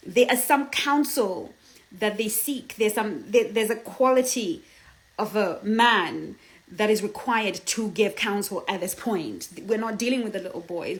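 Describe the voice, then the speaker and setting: A woman from Zimbabwe, Shona her first language, speaks with a Zimbabwean accent as a relationship coach. She talks in an emphatic, lively way, laughing often and asking "okay?" and "do you understand?". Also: medium pitch, low-quality recording. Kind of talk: casual advice talk.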